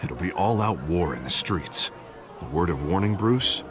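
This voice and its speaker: An ambitious, glib politician in a story-driven game. His low voice swings with lively intonation as he persuades and promotes himself. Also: moderate pace, over music. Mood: earnest persuasion. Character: smooth-talking, ambitious male politician, glib and self-promoting. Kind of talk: video game voice acting.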